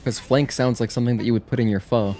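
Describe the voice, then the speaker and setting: A man's voice: medium pitch, steady tone, quick pace, dark tone, slight background noise. A man giving casual livestream game commentary, chatting with viewers in a rambling way that breaks off to react and answer them. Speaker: livestreamer playing video games. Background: video game music.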